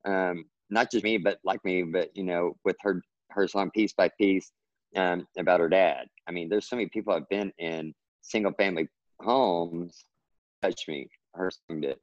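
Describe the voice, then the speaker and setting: A man's voice: normal speed, dry recording, muffled recording. A man from Texas with a Texan accent, a writer chatting earnestly and at length as an interview guest. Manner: earnest, rambling, gets excited and pitches up. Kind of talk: casual interview chat.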